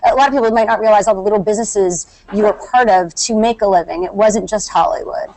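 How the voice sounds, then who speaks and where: A woman from Canada interviewing a guest, friendly and quick to laugh. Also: medium pitch, a little mellow, low-quality recording. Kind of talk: interview questions.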